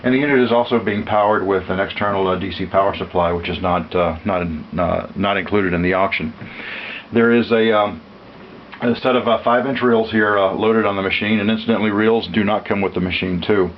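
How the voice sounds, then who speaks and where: A man giving an unscripted technical demonstration as a seller, matter-of-fact and halting, with frequent uhs. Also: lowish voice, steady tone, a little hiss or hum. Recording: dull lo-fi audio, music behind. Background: music played from demonstration equipment.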